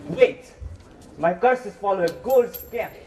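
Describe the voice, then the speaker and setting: Bitter, put-upon father in a school stage play, played by a man: high voice, declamatory, distant noisy recording. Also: expressive, slow pace, a little mellow, heavily acted. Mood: indignant. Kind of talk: amateur stage play acting.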